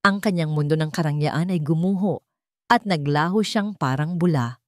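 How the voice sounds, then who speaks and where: A woman's voice: sits low, moderate pace, dry recording, clean studio sound. A woman with a Filipino accent reading a scripted dramatic story as its narrator. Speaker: narrator of an online story channel.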